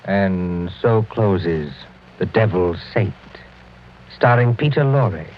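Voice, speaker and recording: A man narrating and announcing a radio mystery in a measured, dramatic 1940s style. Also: low-pitched, lively intonation, a little hiss or hum. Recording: dull 1940s radio recording. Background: music.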